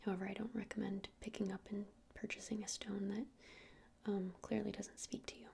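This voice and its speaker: Whispering, creaky-edged witch shopkeeper played by a woman. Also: mid-range voice, flat delivery, a little hiss or hum. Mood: soothing, earnest. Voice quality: breathy whisper with creaky edges. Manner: unhurried, hesitant, explaining gently to a customer. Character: gentle witch keeping a crystal shop. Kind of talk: ASMR roleplay, softly whispered.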